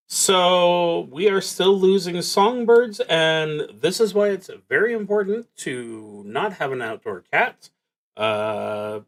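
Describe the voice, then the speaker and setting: Slow-talking man with very animated pitch. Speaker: solo roleplaying game streamer. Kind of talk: casual solo game narration, reading cards and thinking aloud.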